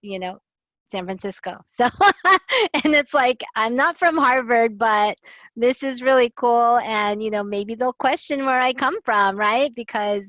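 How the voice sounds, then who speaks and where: A woman's voice: fairly high pitch, unhurried, a bit bright, dry recording. Woman, from the Philippines, earnest, reflective, frequent fillers, dry, muffled call sound. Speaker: guest, health and safety expert. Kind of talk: live online panel talk. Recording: dull lo-fi video call audio.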